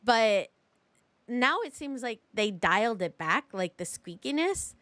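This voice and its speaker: A woman's voice: fairly high pitch, sing-song, measured pace, fairly bright tone, no echo. A woman streamer giving casual live game commentary and chatting to viewers in a rambling, slangy way. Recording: game music behind.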